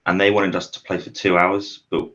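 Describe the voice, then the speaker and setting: A man's voice: sits low, little pitch movement, a little fast, fairly bright tone. A young man from Shropshire, talking easily about his band as an interview guest. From Shropshire, England. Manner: easygoing, laughs often, lists things. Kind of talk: casual interview chat. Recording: dull muffled mic.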